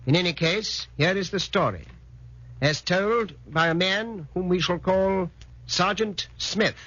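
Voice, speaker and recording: A man gives a formal, precise radio introduction in 1940s style. His voice is high, sharp and husky, on a dull old recording. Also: measured pace. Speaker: author giving an introduction.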